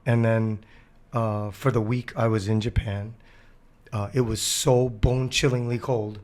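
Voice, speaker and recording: Hoarse male co-host, easygoing chat, quick to laugh. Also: on the low side, level tone, darkish tone. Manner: laughs easily, joking. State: hoarse, losing his voice. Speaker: podcast co-host. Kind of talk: casual chat.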